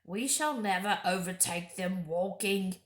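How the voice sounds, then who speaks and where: A woman's voice: mid-range voice, a little slow, lightly acted. Storybook dwarf servant, male, voiced by a woman: surly, growling, grudging, recorded in an echoey room. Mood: surly protesting. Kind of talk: storybook character voice in read-aloud.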